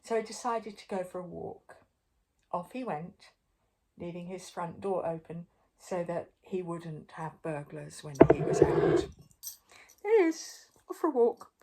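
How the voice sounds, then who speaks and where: An older woman reading a picture book aloud to young children in a playful, expressive way, with chatty asides to the listener. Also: fairly low pitch, measured pace, background noise. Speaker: storyteller.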